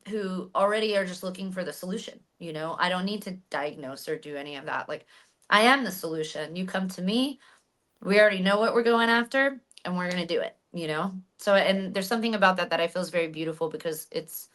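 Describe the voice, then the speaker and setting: A woman guest talking casually in an interview, animated, with frequent fillers and restarts. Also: fairly low pitch. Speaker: guest, stunt actress and hypnotherapist.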